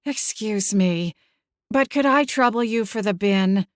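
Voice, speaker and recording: A woman voicing a pushy, demanding, eccentric older aunt in an audiobook, brisk and haughty. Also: medium pitch, no echo, studio quality, close to an everyday voice. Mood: polite, insistent. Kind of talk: audiobook character acting.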